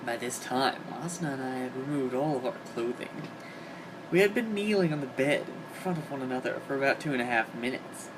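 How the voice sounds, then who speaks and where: Male reader, mid-pitched, dramatic delivery of comic fan fiction, noisy amateur setup. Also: animated, fairly quick. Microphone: home microphone on an internet call. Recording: hobby voice-call recording, clear background noise.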